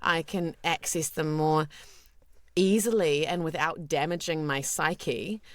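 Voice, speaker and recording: A woman with a naturally deep, low voice answers questions as a guest voice actor. She talks openly and thoughtfully, often restarting her sentences. The sound is dry and close. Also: slow, dry recording. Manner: candid and reflective, with many fillers and restarts. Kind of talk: podcast interview.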